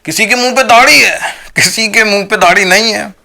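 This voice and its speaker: High-pitched, creaky Indian man arguing his opinion. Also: a little fast, bright, forceful tone. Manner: argumentative, repeated rhetorical questions and check-in tags. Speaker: video commentator. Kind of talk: opinionated monologue to viewers.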